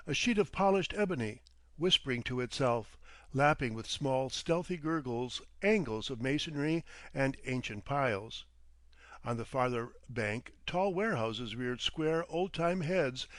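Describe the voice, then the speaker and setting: Male narrator, mid-pitched voice, measured literary reading, detective fiction audiobook, very dry recording. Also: fairly bright tone, no echo.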